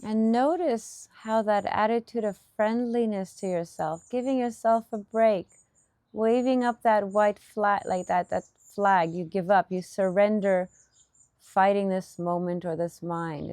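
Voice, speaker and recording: A woman leading a guided meditation as a teacher, giving gentle instruction in short, unhurried phrases with pauses between them. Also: medium pitch, no room sound.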